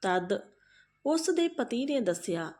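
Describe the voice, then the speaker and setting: Slow-paced woman telling a moral tale. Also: fairly high pitch, sing-song, a little mellow. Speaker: storyteller. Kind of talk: narrated Punjabi moral folk tale.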